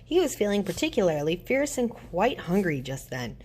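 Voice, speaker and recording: A woman reading a children's storybook aloud in an expressive narrating style. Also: mid-range voice, moderate pace, a little hiss or hum. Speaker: storybook reader.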